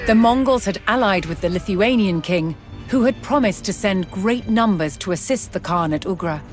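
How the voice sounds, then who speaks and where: Female narrator, measured and solemn, scripted historical game storytelling with prominent music behind. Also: mid-range voice, lively intonation.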